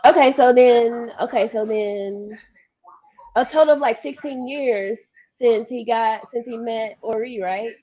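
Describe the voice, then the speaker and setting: A woman with a slightly high voice chats casually as a discussion panelist. She reasons out loud, working through each point as she speaks over a video call. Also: a little slow, dull audio. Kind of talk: casual chat. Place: room at home, video call.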